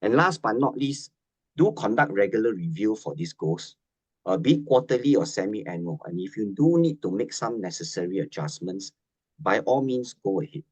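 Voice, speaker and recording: A man's voice: fairly low pitch, animated, moderate pace, darkish tone, no room sound, low-quality recording. A man speaks as a financial specialist in a webinar. He works through his advice methodically, one numbered point at a time. His voice sounds dry and close, with no room around it. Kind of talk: webinar presentation.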